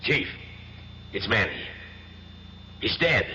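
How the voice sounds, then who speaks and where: A man's voice: on the low side, big pitch swings, slow pace, sharp tone, full character voice. A man playing a cautious, grim police sergeant in a 1970s radio drama. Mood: grim, hushed. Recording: muffled, echoey, noisy old radio.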